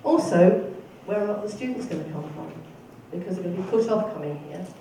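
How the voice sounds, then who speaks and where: A council candidate in a debate, speaking in a measured, explanatory way. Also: medium pitch, animated, dark tone. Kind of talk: debate speech. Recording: echoey hall, far from mic, noise.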